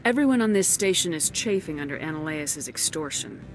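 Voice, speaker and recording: A woman's voice: low voice, lively intonation, brisk, faint music behind. Blunt, no-nonsense commander, a woman. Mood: direct. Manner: blunt, questioning, firm. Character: tough female military commander, direct and no-nonsense. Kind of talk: video game dialogue acting.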